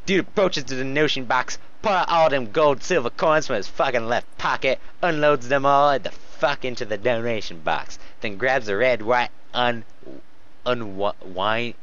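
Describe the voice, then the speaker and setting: A man's voice: medium pitch, moderate pace, fairly bright tone. A man doing a casual comedic read-aloud with sarcastic, rambling, foul-mouthed asides, recorded in dull lo-fi sound. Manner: sarcastic, rambling, swears freely. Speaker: host reading fan fiction aloud with running commentary.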